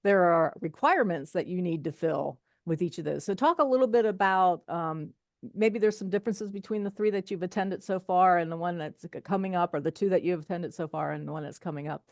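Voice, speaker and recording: A woman's voice: fairly low pitch, brisk, dry recording, clean studio sound. An older woman hosting an interview podcast, chatting casually and laughing easily with her guest. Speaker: podcast host.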